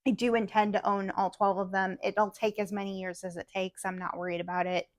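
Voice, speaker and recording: A woman's voice: medium pitch, flat delivery, a little fast, dry recording. Chatty woman, dry close sound. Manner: rambling, many fillers and restarts. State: losing her voice. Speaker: podcast co-host. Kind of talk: casual chat about cross-stitch projects.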